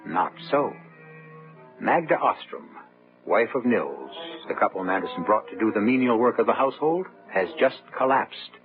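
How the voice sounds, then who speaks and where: A man narrating a radio drama as its host in a measured, theatrical, ominous way. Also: on the low side, lively intonation, soft background music. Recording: narrow tinny old radio broadcast.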